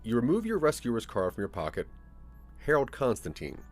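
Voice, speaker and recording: A man's voice: medium pitch, huge pitch swings, normal speed, faint music behind. A man narrating a scripted second-person story for an interactive audio drama.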